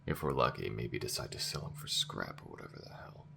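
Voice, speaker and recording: Casting: male actor as a bitter alien crewmate for sci-fi audio drama, extremely low register, expressive swings, blunt delivery. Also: a little fast, creaky, in a whisper, full character voice. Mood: weary disgust. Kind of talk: ASMR-style scripted sci-fi roleplay acting.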